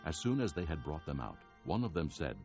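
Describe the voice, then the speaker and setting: Super deep bible narrator guy, solemn but animated delivery, music behind. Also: a little fast, highs cut off. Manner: solemn storytelling. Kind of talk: scripted dramatic Bible narration.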